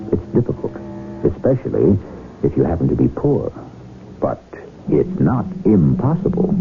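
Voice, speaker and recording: A man narrating a 1970s radio drama as its host, theatrical, wry and deliberate, with rhetorical flourishes. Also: deep voice, vocal fry. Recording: muffled old radio broadcast, music behind. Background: music.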